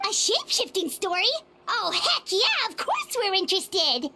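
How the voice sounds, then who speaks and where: A woman voicing a tiny, excitable, childlike fairy companion, chatty and curious, in anime video game dialogue. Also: very high-pitched, normal speed, full character voice. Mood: eager. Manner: exclamatory, bubbly, refers to self in third person.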